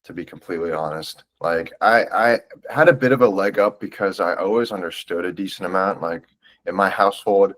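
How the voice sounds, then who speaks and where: A man's voice: deep voice, fairly quick, a bit bright. A man in his twenties from the United States talks as a podcast guest, earnest and reflective, with a loose, filler-heavy way of speaking. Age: 20s. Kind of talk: casual interview chat.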